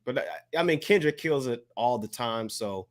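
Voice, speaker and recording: A man with a mid-pitched voice chats as a panelist on a hip hop talk show. He speaks casually in slang and often repeats a phrase to drive it home. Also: animated, moderate pace.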